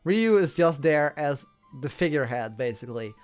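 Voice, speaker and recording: A man's voice: fairly high pitch, lively intonation, moderate pace, darkish tone, clean tone, soft background music. A man chatting casually as an interviewer, explaining things in a rambling way and often repeating himself. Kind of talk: casual chat.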